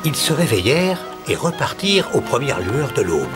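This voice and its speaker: A man playing the narrator of an animated fairy tale, telling the story in a scripted storybook style. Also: medium pitch, moderate pace, music in the background, natural voice. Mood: storytelling.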